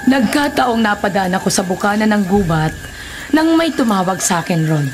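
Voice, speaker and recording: A woman's voice: medium pitch, animated, normal speed, noisy background, close to an everyday voice. An actress playing a corrupt, calculating female Filipino village captain in a radio drama, speaking firmly. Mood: cold, scheming. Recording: loud dramatic music behind voice.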